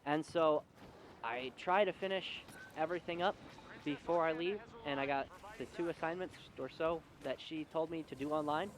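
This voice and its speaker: A man's voice: a little high, normal speed, low-quality recording. A young man telling a personal story as a casual, emphatic, exasperated rant. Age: young adult. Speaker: video storyteller.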